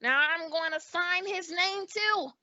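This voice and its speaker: Very high, squeaky mischievous student. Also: female voice, sharp tone, heavily acted. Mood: gleeful. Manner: gee-whiz, gleeful, drawn-out. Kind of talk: old-time radio comedy acting. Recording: dull, lo-fi audio.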